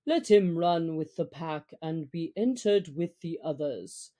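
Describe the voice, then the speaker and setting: A kindly old brown bear from a storybook, acted out by a woman. The voice is pushed down low and moves very slowly, ponderous and sleepy, like an aged teacher. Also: lively intonation, mellow. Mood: earnest, steady. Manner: slow, ponderous, grunting.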